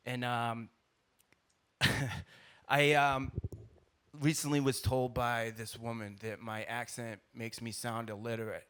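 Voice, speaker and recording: A man's voice: mid-range voice, level tone, slow, long pauses, pushed and bright. A middle-aged man with a Boston accent doing blunt, colloquial stand-up storytelling into a stage mic. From South Boston, United States. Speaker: stand-up comedian. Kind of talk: stand-up comedy storytelling to a live audience. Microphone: stage microphone at a live show. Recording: live stage recording with audible background noise.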